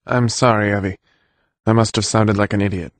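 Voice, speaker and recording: A man's voice: lowish voice, fairly even intonation, brisk, no room sound, lightly acted. A man playing an earnest, kindly young villager in a fantasy audiobook, speaking sincerely and pleadingly. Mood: apologetic, earnest. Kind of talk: full-cast audiobook acting.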